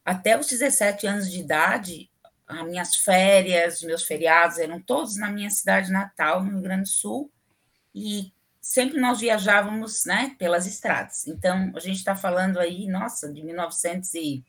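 A woman's voice: medium pitch, moderate pace, a bit bright. Chatty middle-aged southern Brazilian woman. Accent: southern Brazilian Portuguese. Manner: chatty, opinionated, many fillers. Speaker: civil engineering professor, guest. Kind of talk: casual interview talk.

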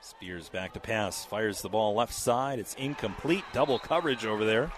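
A man with a somewhat low voice calls a local high school football game play by play. His voice is scratchy tonight, and he keeps a steady, measured pace with casual fillers between plays. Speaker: play-by-play announcer.

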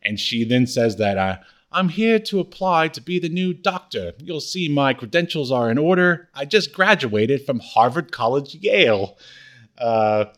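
Halting man chatting on a podcast. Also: medium pitch, big pitch swings, a bit bright. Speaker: podcast co-host. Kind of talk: casual chat recapping a comedy show.

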